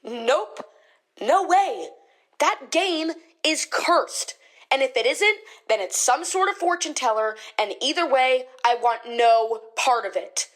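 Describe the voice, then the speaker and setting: Woman acting spooked superstitious eyewitness, high female voice, emphatic, punchy phrases, radio drama. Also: expressive, takes it slowly. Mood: spooked, adamant. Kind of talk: radio drama witness interview.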